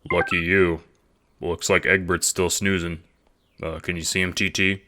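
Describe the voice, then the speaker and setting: A cool, sarcastic teenage boy, played by a man in an audio drama. The voice is low, with casual slang delivered deadpan over faint noise. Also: moderate pace, lightly acted. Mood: wry, casual.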